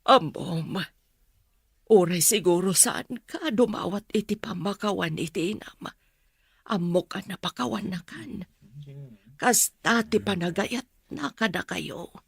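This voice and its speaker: A woman playing a sober, middle-aged aunt in a radio drama, speaking earnestly with a northern Philippine accent in a measured, consoling manner. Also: mid-range voice, vocal fry, husky, no echo. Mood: gentle, consoling. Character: sober, consoling older aunt, gently explaining.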